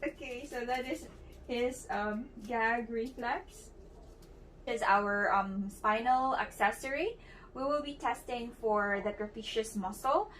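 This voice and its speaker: A woman's voice: on the high side, slow pace, fairly bright tone. A young woman demonstrating a clinical examination, explaining each step as she instructs the patient, with frequent fillers and restarts. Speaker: student demonstrating a clinical exam. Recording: distant mic with faint background noise.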